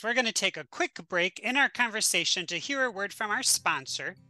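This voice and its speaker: A woman hosts a podcast in a chatty, playful way and scatters "like" through her questions. Her voice is bright, and the recording is dry and close. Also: mid-range voice, lively intonation, a little fast, dry recording, rough recording. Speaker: podcast host. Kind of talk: casual conversational interview.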